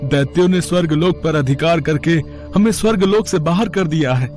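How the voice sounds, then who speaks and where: Casting: male actor as reverent, troubled ruler of heaven for animated myth, high voice over music. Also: fairly quick, lightly acted. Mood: humble pleading. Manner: reverent, beseeching. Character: distressed, humble male king of the gods. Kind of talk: animated mythological story dialogue.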